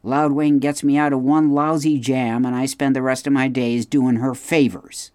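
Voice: mid-range voice, little pitch movement, normal speed, a little mellow, no room sound, studio quality, lightly acted. A reader voicing a stubborn, grumbling male beaver and father who mutters and hums while he thinks. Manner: gruff, muttering, hesitant hmms.

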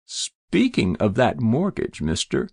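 A man's voice: lowish voice, wildly swooping pitch, slow pace, gravelly, darkish tone, husky, no room sound, studio quality, full character voice. A man voicing a stubborn, uneasy New England farmer in a story, folksy, plain-spoken and defiant. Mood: guarded. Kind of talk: dramatized storytelling.

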